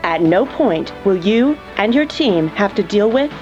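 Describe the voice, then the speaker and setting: Woman as a lively, polished corporate operations chief. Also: medium pitch, a little slow, lo-fi sound, lightly acted. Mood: polished, reassuring. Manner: polished, instructional. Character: polished, reassuring female corporate head of operations. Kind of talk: scripted corporate training narration. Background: music.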